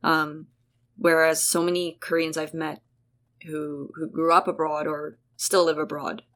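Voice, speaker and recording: Low-voiced young woman, rambling and chatty. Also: level tone, measured pace, a bit bright. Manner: chatty, rambling, full of "like" and restarts. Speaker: guest host. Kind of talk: casual conversation between sisters.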